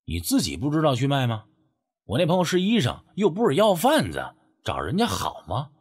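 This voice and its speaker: A man's voice: fairly high pitch, huge pitch swings, fairly quick, slightly rough, heavily acted. A man playing a skeptical, sardonic first-person narrator of a folk ghost story audiobook, acting out dialogue. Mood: skeptical, teasing.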